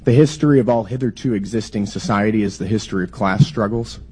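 Hoarse man grilling a witness. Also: fairly low pitch, fast talker, a little mellow. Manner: pointed, stumbles and restarts. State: hoarse from a cold. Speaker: lawmaker questioning a witness. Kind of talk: hearing testimony questioning.

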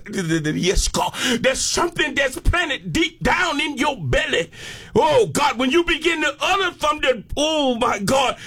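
A man's voice: extremely high pitch, fairly bright tone. A man with an American accent preaching a fervent sermon, often breaking off to exclaim praise in an impassioned way. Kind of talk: fervent sermon with call and response.

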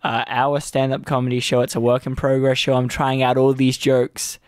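A man's voice: medium pitch, monotone, moderate pace, clean tone, dry recording. Aussie radio guy, comedian, rambly self-deprecating chat, dry close mic. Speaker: radio co-host and stand-up comedian. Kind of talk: casual radio banter.

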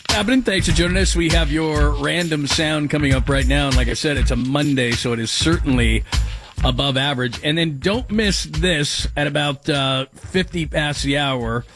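A man's voice: a little high, moderate pace, music in the background. A man hosting a sports radio show, talking casually and rambling, joking with his co-host and laughing at his own bits.

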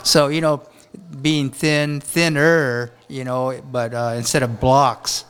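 A man's voice: medium pitch, level tone, fairly bright tone, slight background noise. Guy from japan, chef, rambles and restarts a lot, just chatting in an interview. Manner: rambling, halting, many restarts. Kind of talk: casual interview talk. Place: hotel on a beach in Honolulu.

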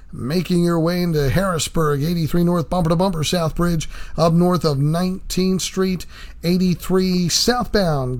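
A man's voice: on the high side, darkish tone. A man giving a clipped radio traffic report, listing roads and problems.

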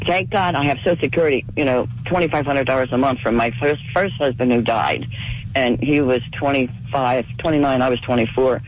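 Fast-talking older woman, husky with a cold. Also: very deep voice, dull audio, a little hiss or hum. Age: 70s. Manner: rapid, rambling storytelling. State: losing her voice from a cold. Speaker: caller. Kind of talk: call-in radio chat.

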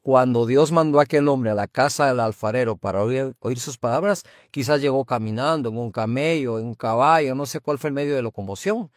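A man's voice: medium pitch, fairly quick, hardly any pauses, dry recording, clean studio sound. A man who hosts as a pastor, jumping in with short agreements and explaining points in a conversational tone. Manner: affirming, interjecting with short agreements. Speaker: host, pastor. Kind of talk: conversational interview.

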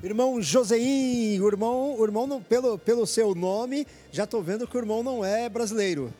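A man's voice: extremely high pitch, brisk, mellow, faint music behind. A man hosting an evangelical radio program from a church headquarters, preaching with fervent urgency.

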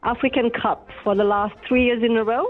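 A woman from Senegal calling in to a radio show, chatting in a relaxed way and laughing easily. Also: fairly high pitch, brisk, over music. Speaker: caller. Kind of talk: phone-in chat. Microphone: phone. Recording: muffled phone line.

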